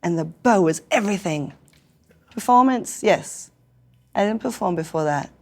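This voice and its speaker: An Australian woman from Newcastle, hoarse and croaky after singing sick the night before, telling stories playfully in a casual interview. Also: mid-range voice, lively intonation, moderate pace, darkish tone. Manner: playful, theatrical storyteller with frequent laughs. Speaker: guest performer.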